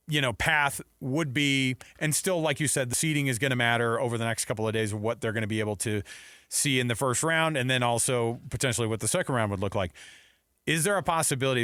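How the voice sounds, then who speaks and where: An American man hosts casual sports talk on the radio. His voice is mid-pitched and recorded dry and close in a studio. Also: fairly bright tone, no room sound.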